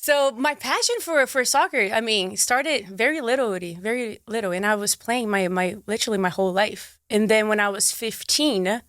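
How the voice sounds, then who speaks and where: A woman's voice: mid-range voice, moderate pace, few pauses, a bit bright, no echo. Young woman, Brazilian accent, bubbly, laughing, chatty interview guest, dry close sound. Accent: Brazilian Portuguese. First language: Portuguese. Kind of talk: casual interview chat.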